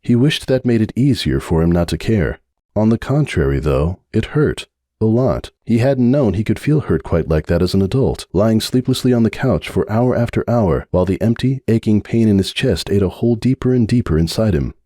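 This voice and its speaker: A man giving a dramatic reading of a fan story, narrating in a measured, brooding way. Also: lowish voice, animated, dark tone, no room sound, studio quality. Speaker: audiobook narrator.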